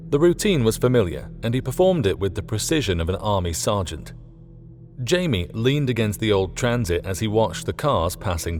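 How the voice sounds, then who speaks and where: A man reading scripted fiction as an audiobook narrator. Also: lowish voice.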